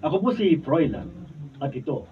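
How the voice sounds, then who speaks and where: A man's voice: a little high, animated, moderate pace, close to an everyday voice. A man with a Filipino accent playing a hot-headed, cocky husband in a radio drama. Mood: calm, reflective. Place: radio drama recording studio. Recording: muffled, noisy behind-the-scenes studio video, distant mic.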